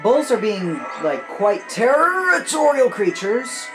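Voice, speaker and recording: A gamer hosting a casual walkthrough, with a very lively, swinging pitch and an unhurried pace. They talk to themself as they play and are quick to exclaim. Music plays behind the voice. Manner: excitable exclamations and self-talk while playing. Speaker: video game walkthrough host. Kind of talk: casual gameplay commentary.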